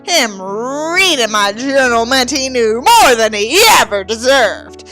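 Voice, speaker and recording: A woman's voice: a little high, lively intonation, takes it slowly, fairly bright tone, soft background music. A woman voicing a bitter, self-righteous teenage boy in an overwrought, mock-dramatic audiobook reading, stretching words for emphasis. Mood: scornful.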